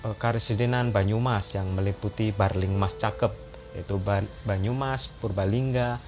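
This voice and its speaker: A man narrating an educational history video as a voice-over, in a calm and steady explaining manner. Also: lowish voice, normal speed. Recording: voice-over with loud background music.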